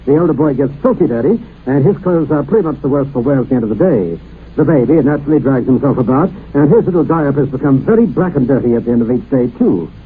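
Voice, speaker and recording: A man announcing an old radio advertisement, reading a scripted testimonial in a formal, measured way. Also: mid-range voice, lively intonation. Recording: tinny, noisy old radio recording.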